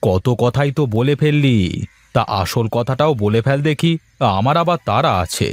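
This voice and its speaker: A man playing a gruff, busy farmer, the older brother in a village cartoon. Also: mid-range voice, animated, normal speed, dry recording, close to an everyday voice. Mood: brusque. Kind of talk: Bengali moral cartoon dubbing.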